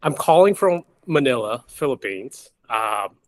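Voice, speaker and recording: A middle-aged man from Boston speaks as a guest in a casual online interview. He talks very slowly in a mid-pitched voice, pausing often halfway through his sentences. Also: sing-song. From Boston, United States. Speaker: guest, company founder and investor.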